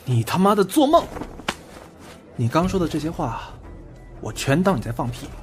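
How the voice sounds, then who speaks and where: A man's voice: on the high side, big pitch swings, normal speed. A man playing a defiant, blunt, proud young man in an audio drama, with curt delivery. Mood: defiant, threatening. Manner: curt, scornful retorts. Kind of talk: Chinese audio drama acting. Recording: music behind the voice.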